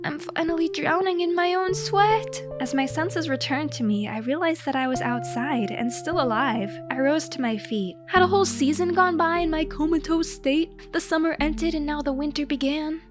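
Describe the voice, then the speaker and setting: A woman's voice: high-pitched, moderate pace, few pauses, music in the background. A woman narrating a comedic diary story in a theatrical, mock-heroic, scripted style.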